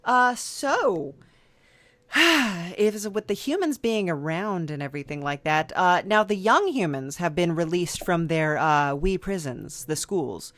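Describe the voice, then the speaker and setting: A woman hosts an improvised comedy interview, talking slowly and haltingly with lots of fillers. Her voice sits a little low and the recording is close and dry. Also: expressive, fairly bright tone, dry recording. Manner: hesitant, many fillers, playing along with mock seriousness. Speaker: podcast host.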